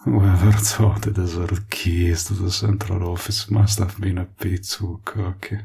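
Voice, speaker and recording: A man playing a smug, menacing villain in an audio roleplay, taunting and mock-polite, possessive, with a gravelly, husky voice. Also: low voice, level tone, dark tone, exaggerated voice. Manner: taunting, mock-polite, deliberate drawl with drawn-out threats. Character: smug menacing male villain, possessive toward a former friend. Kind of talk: scripted audio roleplay drama.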